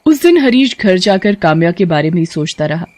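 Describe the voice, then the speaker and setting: A woman's voice: fairly low pitch, brisk, slight background noise. Woman narrating a bedtime story. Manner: steady storytelling, firm and scolding when voicing the teacher. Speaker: narrator who also voices the teacher. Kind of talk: scripted audio story narration.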